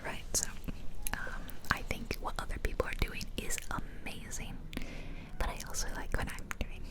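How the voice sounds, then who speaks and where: A woman speaks entirely in a soft, airy whisper, right up at the microphone. She chats casually as an ASMR game host. Her delivery is intimate and gentle, wandering from one thought to the next. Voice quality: soft, breathy unvoiced whisper. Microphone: close ear-to-ear ASMR microphone.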